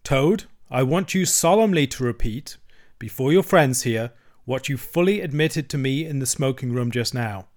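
A man's voice: medium pitch, lively intonation, moderate pace, natural voice. Man playing a stern, commanding badger. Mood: solemn. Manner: solemn, commanding, speechifying. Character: stern, oratorical male badger, gang leader. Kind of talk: storybook character voice.

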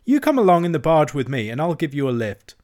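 A man's voice: on the high side, big pitch swings, fast talker, pitched above the performer's own voice. A man doing a hearty barge woman. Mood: polite. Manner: polite and hearty, quietly sly. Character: hearty, shrewd middle-aged barge woman.

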